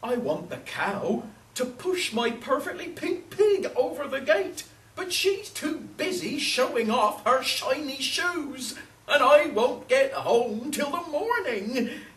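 A woman storyteller voicing an exasperated old woman in a children's tale, loudly commanding and pleading in repeated phrases. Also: sits high, big pitch swings, slow pace, pitched above the performer's own voice, full character voice. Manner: loud, commanding, repetitive pleading. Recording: roomy, distant mic, faint noise.

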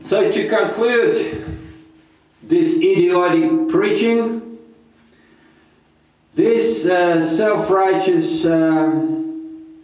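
A man's voice: sits high, darkish tone. A middle-aged man giving a contemptuous, insulting commentary while reacting to a sermon, filmed at a desk in a room crowded with ornaments. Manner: contemptuous, insulting, slow and deliberate. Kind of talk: scornful commentary. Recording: very echoey room.

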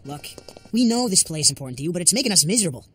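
A woman's voice: lowish voice, very animated, fairly bright tone, faint music behind. A woman voicing Tino, a laid-back, wisecracking teenage boy in a cartoon. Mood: easygoing. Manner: quick, wisecracking. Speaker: cartoon character.

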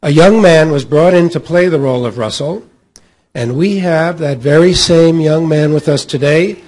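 Husky middle-aged American man, higher-pitched voice. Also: normal speed, a little mellow, low-quality recording. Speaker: panel moderator. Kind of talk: scripted introduction read aloud. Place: hotel meeting room at a convention. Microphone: table microphone on a stand.

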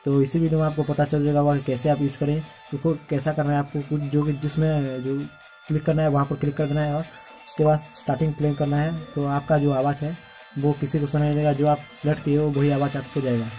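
Mellow-voiced man giving a phone tutorial. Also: a little high, completely flat delivery, quick pace, soft background music. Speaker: tech tutorial host. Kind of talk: casual phone tutorial narration.

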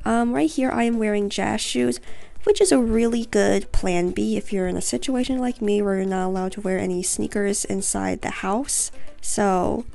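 A woman's voice: medium pitch, normal speed, creaky, warm tone, soft background music. A teenage girl talking casually to her webcam at home, explaining a beginner workout. She is getting over a cold and tosses in lots of "you guys". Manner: chatty, says "you guys" often, light laughs. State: recovering from a cold. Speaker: figure skating vlogger. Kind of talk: casual tutorial talk to camera. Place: carpeted basement room at home.

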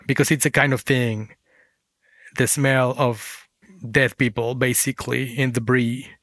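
A man's voice: mid-range voice, flat delivery, unhurried, a bit bright, dry recording. Man reflecting in a dry, close interview. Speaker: guest, fiction writer.

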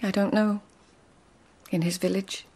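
Witty court lady, husky n creaky voice, hushed, polite, radio play. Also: medium pitch, flat delivery, a little slow. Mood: composed, quietly defiant. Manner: polite, restrained, dryly witty. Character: witty, composed, devoted court lady-poet. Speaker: actor. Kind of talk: radio drama acting.

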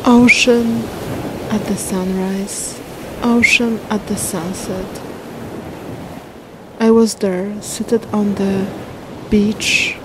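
A woman guiding a meditation in a soothing, unhurried way, speaking in short phrases with long pauses. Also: mid-range voice. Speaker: meditation guide. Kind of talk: slow guided meditation narration. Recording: steady wash of ocean waves and wind noise. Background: ocean waves.

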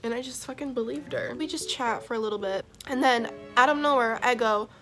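Congested young woman, high, bubbly vlog voice. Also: fairly quick, soft background music. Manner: animated, swings into loud excited bursts. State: congested from the flu. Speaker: YouTuber. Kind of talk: casual storytelling vlog, reading old chats aloud. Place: bedroom, indoors.

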